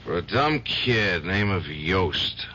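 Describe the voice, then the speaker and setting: An actor playing a troubled, guilt-ridden lawyer who drinks, in an old radio drama. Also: male voice, low-pitched, very animated, slow pace, sharp tone, slightly rough, exaggerated voice. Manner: anxious, pleading. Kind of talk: 1970s radio drama acting. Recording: dull old radio broadcast.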